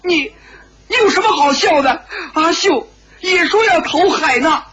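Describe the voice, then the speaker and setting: A man voicing a naive, earnest young hero in a dramatised wuxia story, pleading in an anxious, tearful, high-strung way. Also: wildly swooping pitch, normal speed, bright, forceful tone, slightly rough, full character voice. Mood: tearful anger.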